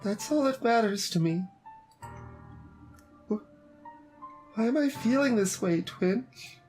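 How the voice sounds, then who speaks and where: A woman voicing a sweet, fragile teenage anime girl in a visual novel, speaking in a halting, earnest way. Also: fairly high pitch, expressive, a little mellow, soft background music. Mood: heartbroken. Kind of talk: visual novel character voice-over.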